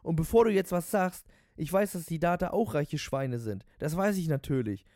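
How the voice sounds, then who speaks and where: A man voicing an ecstatic, loudmouthed male taxi driver who brags in slangy exclamations, in a storybook reading. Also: a little high, animated, moderate pace, dry recording, clean studio sound, voice pushed up, close to an everyday voice. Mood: indignant, fired up. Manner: slangy, bragging, shouting exclamations.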